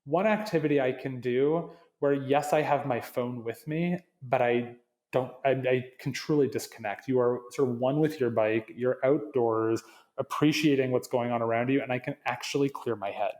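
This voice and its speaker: A man's voice: medium pitch, normal speed, darkish tone. A man with a slight Canadian accent touched with New England, a marketing executive chatting earnestly as a podcast guest, often restarting phrases. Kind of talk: casual interview chat.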